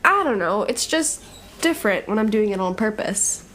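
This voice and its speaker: A woman's voice: mid-range voice, lively intonation, moderate pace, a bit bright, a little hiss or hum, close to an everyday voice. A young woman playing a brash, sarcastic college-age thief in an audio drama, speaking in breezy, slangy banter. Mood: unsure. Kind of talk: audio drama dialogue, casual and conversational.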